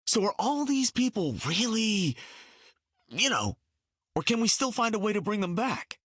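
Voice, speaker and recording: Wisecracking superhero archer, swooping intonation. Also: male voice, high-pitched, big pitch swings, measured pace, fairly bright tone, dry recording, clean studio sound, full character voice. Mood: focused. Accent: American. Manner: wry, casual American banter. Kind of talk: video game dialogue.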